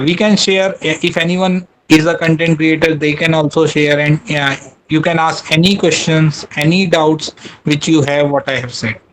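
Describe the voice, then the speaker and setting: A man's voice: a little high, slight background noise, rough recording. A man giving a webinar talk, hesitant, with many fillers and restarts. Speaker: guest speaker.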